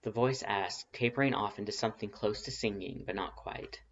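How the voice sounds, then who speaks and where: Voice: medium pitch, normal speed. A narrator reading a scripted fan fiction story aloud. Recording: dull lo-fi recording.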